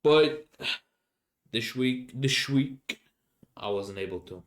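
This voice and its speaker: A young man from Finland with a Finnish accent, speaking English as a second language, rambling casually as a solo podcast host and often pausing to search for words. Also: medium pitch, lively intonation. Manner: halting, many fillers and restarts, searching for English words.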